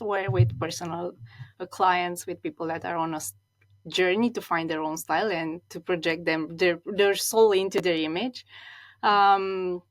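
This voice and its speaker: Soft-pitched Romanian-born woman stylist. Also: rough recording. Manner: thoughtful, with frequent restarts and repeated words. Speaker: guest, stylist. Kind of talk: reflective interview talk.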